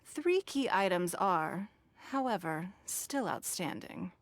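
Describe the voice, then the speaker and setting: An actress playing a composed, powerful businesswoman who speaks in measured, formal, authoritative phrases. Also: lowish voice, very animated, no room sound, exaggerated voice. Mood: composed, businesslike. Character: poised, powerful businesswoman leader, exacting and composed. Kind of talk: video game voice acting. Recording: background music under dialogue.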